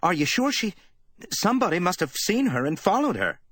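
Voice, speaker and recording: A woman's voice: on the low side, very animated, brisk, a bit bright, breathy edge, no room sound, pitched above the performer's own voice, heavily acted. A man reading an audiobook, voicing a worried young woman secretary in a raised, anxious, tart voice.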